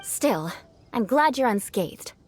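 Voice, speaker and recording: A woman's voice: a little high, expressive, slow pace, a little mellow, faint music behind. A woman playing a haughty young aristocratic swordswoman in a video game, speaking in formal, imperious, theatrical phrases.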